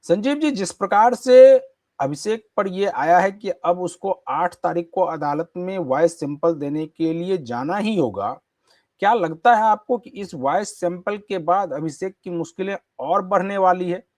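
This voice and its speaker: A man's voice: high-pitched, unhurried, darkish tone, no echo, studio quality. A man hosting a news discussion, giving commentary and putting questions emphatically, repeating phrases for stress. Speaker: news show host.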